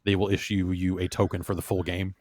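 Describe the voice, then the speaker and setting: A man's voice: low-pitched, completely flat delivery, a little fast, a little mellow, no room sound, clean studio sound. A man hosting a podcast in casual chat, rambling loosely with plenty of fillers and restarts. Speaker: podcast host. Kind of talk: casual chat, reading listener emails.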